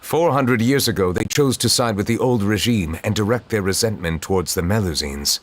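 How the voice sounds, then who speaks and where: An actor playing a stern, formal chief justice in a video game, speaking in a measured, grave manner. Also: male voice, lowish voice, lightly acted. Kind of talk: game voice acting.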